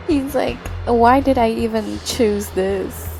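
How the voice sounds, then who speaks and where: High-voiced woman streaming a game. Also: dark tone. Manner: exclamatory, mild swearing. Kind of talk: casual livestream game commentary and reading aloud. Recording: game music behind the voice.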